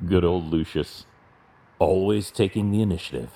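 A masked crime-fighter from an audio drama, played by a man. His voice sits extremely low. He is brooding and guarded, giving terse, restrained replies. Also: moderate pace, mellow. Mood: stoic, guarded.

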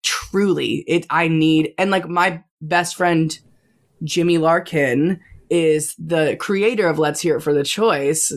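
A young man with a high voice that gets taken for a woman's, a Broadway actor chatting as a guest in a bubbly way, with lots of fillers and laughs. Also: slow pace. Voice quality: high-timbred voice often taken for a woman's on the phone. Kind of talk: casual podcast chat.

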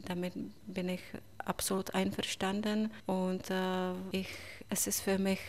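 A Czech woman answering interview questions in a learned second language, pausing often with fillers and broken grammar. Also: medium pitch, flat delivery. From Czech Republic. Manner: hesitant, many pauses and fillers, broken grammar. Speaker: writer, interview guest.

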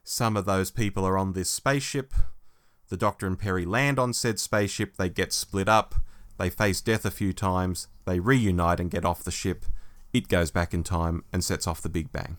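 A man's voice: low-pitched, moderate pace, fairly bright tone. A man co-hosting a podcast, reviewing a book in a casual, wry, conversational way. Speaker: podcast co-host.

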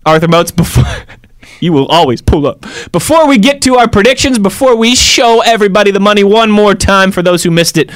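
A man co-hosting a sports radio show, joking and bantering quickly and laughing often. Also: high voice, lively intonation, dry recording. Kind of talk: playful sports show banter, rhyming song.

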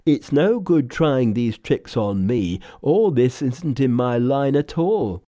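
Man putting on a foolish pot-bellied pig-man, grumbling, reluctant, lively pitch, mellow, storybook. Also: takes it slowly, dry recording, studio quality. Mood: exasperated. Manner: complaining, protesting.

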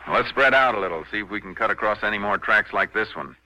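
An actor playing a calm, shrewd Texas Ranger investigator in a 1950s radio western, clipped, deliberate and matter-of-fact. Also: male voice, fairly low pitch. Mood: brisk, intent. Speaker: lead actor in radio drama. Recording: noisy vintage 1950s radio recording.

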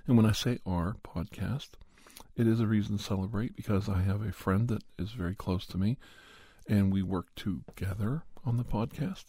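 A man who makes ASMR videos, talking casually to camera from home into a condenser studio mic, his voice worn down by a cold and his talk unhurried and meandering. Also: fairly low pitch, husky, dry recording. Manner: unhurried and meandering, soft at times. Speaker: ASMR channel creator and podcaster. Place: at home, indoors. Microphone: large condenser studio mic.

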